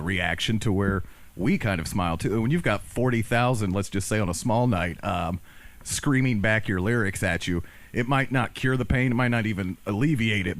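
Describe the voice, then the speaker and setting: Lowish-voiced male interviewer. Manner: wordy, rambling questions. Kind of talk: conversational interview.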